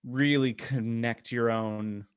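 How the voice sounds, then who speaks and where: A man with a mid-pitched voice chats casually as a podcast co-host. He gives blunt opinions in halting, conversational phrases, and the sound is close and dry. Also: fairly even intonation, smooth, no echo.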